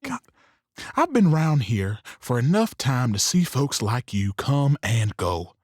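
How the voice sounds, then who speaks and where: An actor playing a world-weary, goading saloon owner in a western audio drama, with a folksy, speechifying manner. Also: male voice, medium pitch, sing-song, slow pace, no room sound, clean studio sound. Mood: bitter, defiant.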